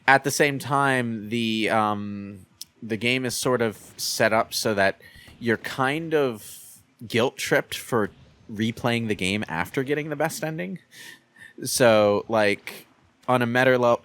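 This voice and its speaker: A man giving casual game commentary in conversation, with halting delivery and repeated starts. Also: lowish voice, a bit bright.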